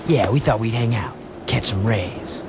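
An actor playing a defiant young hero in a video game, clipped and unfazed. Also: male voice, lowish voice, normal speed, noisy background, close to an everyday voice. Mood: dry. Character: terse, cool, defiant young male hero.

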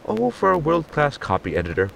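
A man's voice: a little high, huge pitch swings, brisk, creaky, heavy background noise, heavily acted. An actor playing a formal, fussy inventor crewman with stiff, precise diction in a sci-fi audio comedy. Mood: dry, fussy. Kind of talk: audio sitcom acting.

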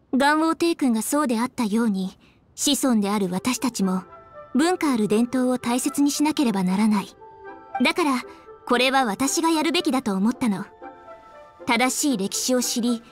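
A woman's voice: fairly high pitch, a little mellow, natural voice. A woman voicing a proud, refined, dutiful young lady official in an anime game, with poised feminine speech. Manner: poised, formal feminine speech, flustered when teased. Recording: faint music behind.

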